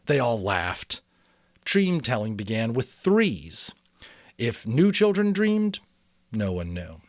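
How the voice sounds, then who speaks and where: A man hosting and narrating an audiobook, with expressive, deliberate phrasing and a casual, amateur storyteller's delivery. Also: medium pitch, no echo, studio quality. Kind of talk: dramatic storybook reading with casual asides.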